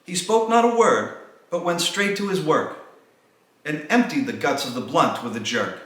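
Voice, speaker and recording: A man recites a rhymed holiday poem like a storyteller, dramatic and sing-song. His bright voice swings widely in pitch. The recording is heavily reverberant. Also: lively intonation, normal speed. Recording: very echoey, reverberant room sound.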